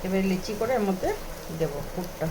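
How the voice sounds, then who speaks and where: A woman's voice: lowish voice, brisk. A woman with a Bengali accent giving a casual cooking demonstration, explaining each step as she does it. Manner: step-by-step narration of own actions. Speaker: home cook vlogger. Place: home kitchen, indoors. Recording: noisy home kitchen, frying and sizzling. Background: frying, sizzle, cooking noise.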